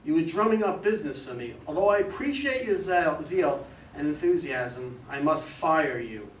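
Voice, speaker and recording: A man's voice: a little high, lively intonation, moderate pace, lightly acted. A man in a staged play reading as a suspicious, decisive investigator boss, with an emphatic, theatrical delivery. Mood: stern, probing. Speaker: reader in a community play reading. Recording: distant mics, echoey room, faint noise.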